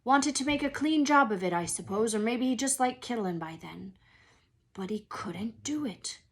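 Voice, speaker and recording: A woman voicing a huge, gruff but good-hearted wizard man in a storybook, emotional and anxious, with folksy, halting speech and dropped word endings. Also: fairly high pitch, expressive. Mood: sorrowful.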